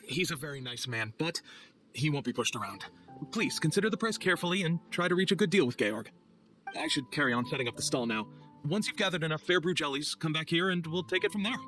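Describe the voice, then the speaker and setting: Fast-talking, earnest, nervous knight clerk. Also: male voice, on the high side, lively intonation, fairly bright tone, soft background music. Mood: polite, explanatory. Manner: formal, earnest, slightly nervous. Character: flustered, earnest, overly polite male knight logistics clerk. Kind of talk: video game voice acting.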